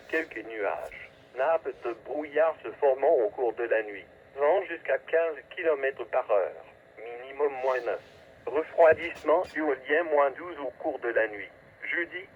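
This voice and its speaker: A man reading a scripted weather forecast as a radio announcer, in a clipped, segmented, automated delivery. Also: medium pitch. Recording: tinny phone-like weather radio, static noise.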